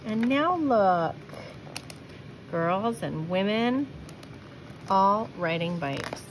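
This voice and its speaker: A woman reading a children's picture book aloud, with expressive, deliberate phrasing. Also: medium pitch, noisy background. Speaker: storybook reader.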